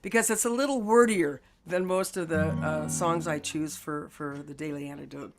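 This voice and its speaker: A woman's voice: sits low, loud music behind the voice. A singer-songwriter woman with a stuffy cold, speaking earnestly over a video call as she introduces a song. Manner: earnest, halting with fillers. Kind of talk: casual talk introducing a song.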